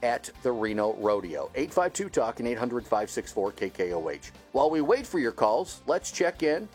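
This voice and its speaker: A man's voice: medium pitch, very animated, moderate pace, a little mellow, soft background music. A man with an American accent hosting talk radio, presenting to listeners in a conversational broadcast style. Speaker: radio talk show host.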